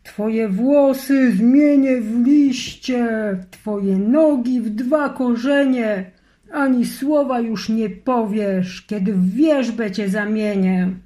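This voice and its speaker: A woman voicing a cruel, hateful male sorcerer in a storybook reading, loud and slow. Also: fairly high pitch. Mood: menacing.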